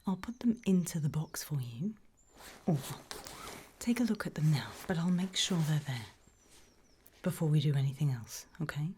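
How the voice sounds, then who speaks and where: A British woman whispering a creepy ASMR roleplay as a calm, reassuring gravedigger, hushed and matter-of-fact with long pauses. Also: low voice, slight background noise.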